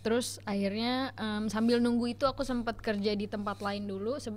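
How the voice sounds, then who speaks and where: A woman's voice: a little high, steady tone, brisk. A young woman chatting casually as a podcast guest, repeating words for emphasis and mixing in English slang. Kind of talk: casual interview chat. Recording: clearly noisy background.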